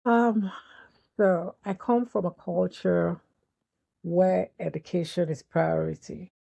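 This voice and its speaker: A Nigerian woman with a Nigerian accent, a podcast guest calmly explaining her work with young people. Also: lowish voice, takes it slowly, vocal fry, dry recording. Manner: calm, explanatory, eases into points with fillers. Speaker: guest, youth mental health foundation founder. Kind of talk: casual interview conversation.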